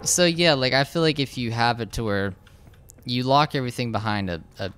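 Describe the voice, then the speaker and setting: A young man, slightly hoarse, casually commenting over his gameplay, rambling with lots of fillers and restarts. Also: mid-range voice, brisk, a little hiss or hum. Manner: rambling, hesitant, frequent filler words and restarts. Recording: game gunfire loud behind voice. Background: shooter game sounds and gunfire.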